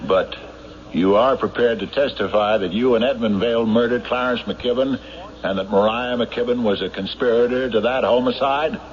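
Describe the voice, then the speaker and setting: An actor playing a stubborn, hard-driving sheriff in an old-time radio drama, blunt, insistent and sardonic. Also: male voice, mid-range voice, normal speed, natural voice. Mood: pressing, sardonic. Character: stubborn, hard-driving small-town sheriff, certain of guilt. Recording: noisy, muffled vintage radio broadcast.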